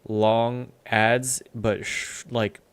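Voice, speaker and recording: A man's voice: mid-range voice, flat delivery, extremely slow, clean tone, no room sound. A man who makes music, a guest on a stream, chatting casually in a laid-back, slangy way. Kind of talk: casual chat.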